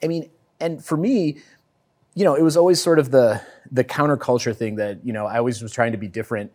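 A middle-aged man in a casual panel discussion, wry and animated, joking at his own expense. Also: medium pitch, fairly quick. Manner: wry and animated, self-deprecating. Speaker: panel guest.

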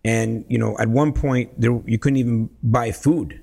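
A man from El Salvador talks real estate as a podcast guest, his voice gravelly and a little husky. He tells stories with energy and leans on "you know" and "right?" as he goes. His pitch sits in the middle for a man. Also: measured pace. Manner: animated storyteller, frequent "you know" and "right?". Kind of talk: casual podcast conversation.

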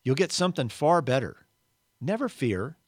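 A man voicing a gentle, forgiven heavenly spirit in a story, calm, reassuring and plainspoken. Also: medium pitch, moderate pace, slightly rough, dry recording, clean studio sound, voice pushed up.

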